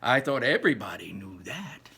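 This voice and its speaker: A man voicing an excitable, astonished grandfather over ninety, exclaiming emphatically as he reads a storybook aloud. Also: medium pitch, normal speed, vocal fry, slight background noise, exaggerated voice. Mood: astonished, marveling.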